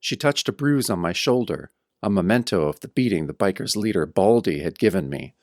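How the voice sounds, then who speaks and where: A man's voice: deep voice, lively intonation, brisk, dry recording, clean studio sound. An actor playing a battered, wry tough-guy hero, narrating his own pulp horror tale in a radio-style audio drama. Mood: determined, wry. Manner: wry, hard-boiled first-person storytelling.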